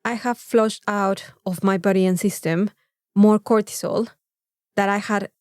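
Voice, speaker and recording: A woman's voice: mid-range voice, fairly even intonation, measured pace, a little mellow, smooth, no echo, clean studio sound. A woman with a Mexican accent, a native Spanish speaker, talking candidly and casually as a podcast co-host and repeating phrases for emphasis.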